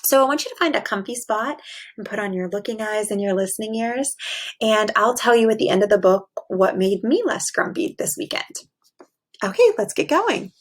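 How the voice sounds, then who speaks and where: A woman's voice: mid-range voice, animated, normal speed. A woman teacher reading aloud to young children, explaining things simply and expressively and asking them questions.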